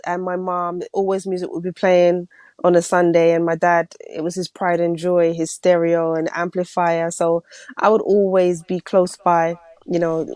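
A woman's voice: on the low side, a little mellow, dry recording. A London woman, a singer, chatting in an interview about her start in music and feeling a bit unwell. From London, England. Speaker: guest singer. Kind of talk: casual interview chat.

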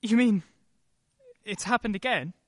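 A woman's voice: mid-range voice, measured pace, dry recording, clean studio sound, natural voice. A woman playing a short-tempered, rattled husband in an old-time radio play. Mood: angry, alarmed.

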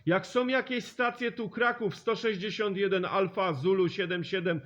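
A man's voice: high voice, fairly even intonation, normal speed, a bit bright. A man working as a CB radio operator, calling other stations in chatty, joking, courteous radio talk. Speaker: amateur CB radio operator, channel host. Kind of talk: CB radio contact calls with radio jargon. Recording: dull lo-fi CB radio recording.

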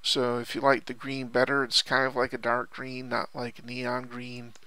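A man's voice: mid-range voice, completely flat delivery, moderate pace, sharp tone, smooth. A man giving casual, unscripted product commentary in a rambling, hesitant way, often repeating himself. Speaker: online product reviewer.

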